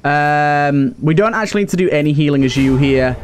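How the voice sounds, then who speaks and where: Male gaming host, fairly high register, relaxed-format playthrough commentary, conversational delivery. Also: a little fast, clean tone. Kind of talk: casual let's play commentary.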